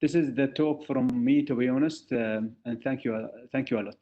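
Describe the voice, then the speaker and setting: A man speaking on a public health panel, halting and full of filler pauses, over a video call. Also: mid-range voice. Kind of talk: conference presentation. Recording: muffled lo-fi call audio.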